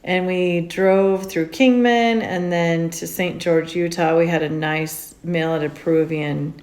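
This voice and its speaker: A woman hosts a casual podcast in a chatty, upbeat way, leaning into her words with lively emphasis. Her voice sits a little low for a woman, and she moves the talk along easily. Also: unhurried. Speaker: podcast host. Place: indoors on a road trip in Utah mountains.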